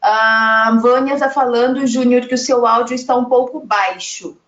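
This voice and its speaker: A woman from Brazil hosting a live web panel, chatting easily and reading audience comments aloud. Her voice sits a bit high. The call audio is roomy and echoey. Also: steady tone, normal speed, fairly bright tone, lo-fi sound. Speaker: panel moderator. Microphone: video call.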